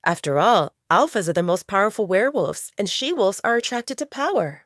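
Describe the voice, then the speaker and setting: A woman's voice: mid-range voice, lively intonation, brisk, a bit bright, dry recording, clean studio sound. A woman narrating a scripted audio drama as a storyteller.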